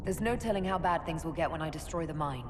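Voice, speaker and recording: An actress playing a composed, resolute female leader with a direct, measured manner in a video game. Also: sits low, expressive. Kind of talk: dramatic video game dialogue. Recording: cavernous reverb with loud background noise.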